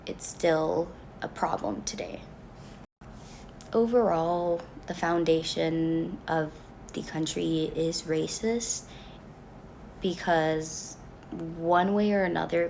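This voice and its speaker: Slow, gravelly young woman student. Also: on the low side, a little mellow, a little hiss or hum, poor audio. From Mexican family background. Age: young adult. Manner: earnest, halting, with pauses. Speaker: college student. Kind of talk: reading a class project reflection.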